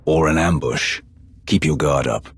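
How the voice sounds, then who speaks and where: An actor playing a commanding, controlled dark warrior in a video game, terse and authoritative with a gravelly voice. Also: male voice, a bass voice, unhurried, no echo, full character voice. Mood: cool, probing.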